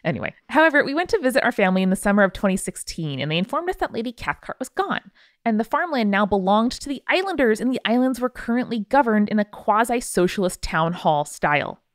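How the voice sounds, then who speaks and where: A woman's voice: on the high side, big pitch swings, fast talker, no echo, clean studio sound. A woman hosting a podcast, reading out listener mail in a casual, irreverent way with wry asides. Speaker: podcast host.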